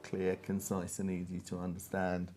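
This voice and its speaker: Male panellist, middle-aged, deep voice, discursive expert talk, stop-start and emphatic. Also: monotone, normal speed, mellow, a little hiss or hum. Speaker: panel speaker.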